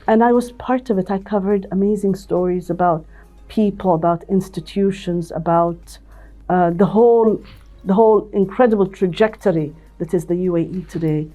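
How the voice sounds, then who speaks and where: A woman's voice: fairly low pitch, normal speed, mellow, soft background music, rough recording. An older woman from Ethiopia, a company CEO, telling her life story in an interview with many restarts and repeated words. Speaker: CEO and managing director, interview guest.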